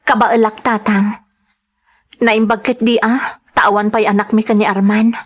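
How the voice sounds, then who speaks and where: A woman's voice: medium pitch, flat delivery, measured pace, lightly acted. A woman with an Ilocano accent playing an earnest, hurt young wife in an audio drama. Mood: tender, worried.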